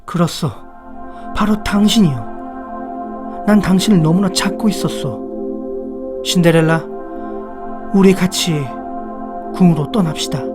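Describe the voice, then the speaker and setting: A man's voice: high-pitched, slow pace, pauses often, over music. A man playing a lovestruck, courtly and resolute young prince in acted storybook dialogue. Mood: tender.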